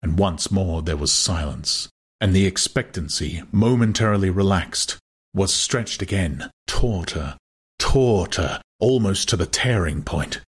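A man narrating an audiobook in a measured, dramatic style. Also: deep voice, animated, husky.

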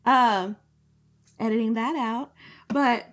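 A woman co-hosting a podcast in casual chat, slightly hoarse, laughing often and filling her talk with "like". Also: on the high side, big pitch swings, very slow, fairly bright tone. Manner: laughing, chatty, lots of "like" fillers. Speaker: podcast co-host.

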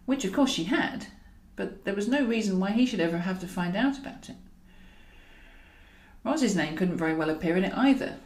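A British woman narrating a comic novel in a wry, expressive way, as a scripted audiobook reading. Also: medium pitch. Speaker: author and narrator. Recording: roomy, echoing voice recording.

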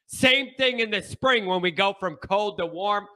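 Congested male show host, high voice, unhurried, emphatic repeats. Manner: emphatic, repeats points with bursts of shouting. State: stuffed-up nasal congestion. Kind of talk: sports talk monologue.

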